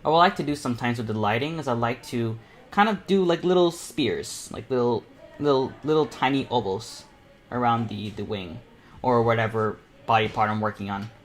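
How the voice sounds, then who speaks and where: A man's voice: medium pitch, normal speed. Eighteen-year-old guy chatting through a painting tutorial. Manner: informal, rambling, thinking aloud while painting. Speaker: self-taught artist hosting a painting panel. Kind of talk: casual live painting tutorial chat.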